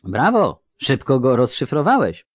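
A man's voice: mid-range voice, huge pitch swings, a little slow, slightly rough, dry recording, studio quality, voice pushed up, full character voice. A man voicing a haughty, bookish young man who speaks precisely and a little superior. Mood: dry.

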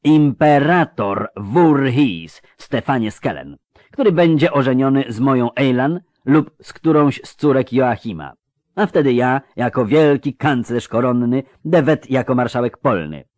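A man voicing a power-hungry, aggrieved nobleman with a rumbling bass and a bellowing, emphatic delivery, in an audiobook. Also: expressive, normal speed, darkish tone, dry recording, studio quality, close to an everyday voice. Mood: emphatic, imperious. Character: booming, aggrieved, power-hungry lord and father.

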